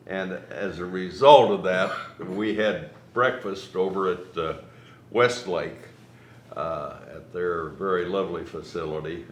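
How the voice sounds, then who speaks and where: An older man giving informal closing remarks to an audience as host, in halting, deliberate phrases. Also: low-pitched, a bit bright. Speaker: event host, business mentor. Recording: echoey room with faint noise.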